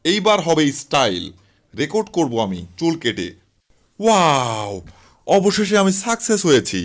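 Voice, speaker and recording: A man's voice: a little high, big pitch swings, a little slow. A man voicing a cocky, grumbling parlour worker in a comic cartoon dub, with dramatic delivery. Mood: self-assured.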